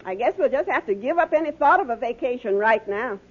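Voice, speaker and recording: A woman's voice: a little high, lively intonation, fast talker, slightly rough. An actress in an old radio comedy playing a practical, no-nonsense wife who scolds and questions her husband. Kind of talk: 1940s-50s radio comedy acting. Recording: muffled old radio, studio audience.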